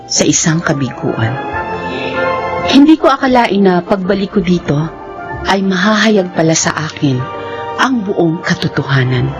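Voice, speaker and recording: An actress playing a young woman who has returned from working abroad, narrating quietly and speaking politely to her aunt. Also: on the low side, big pitch swings, measured pace, long pauses. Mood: reflective. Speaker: radio drama actress. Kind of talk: radio drama acting. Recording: dull lo-fi sound with music.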